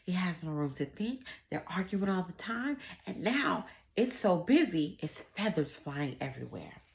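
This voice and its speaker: A woman reading a children's story aloud, with expressive narration and deliberate explanatory asides to the listeners. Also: lowish voice, creaky. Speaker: children's read-aloud teacher.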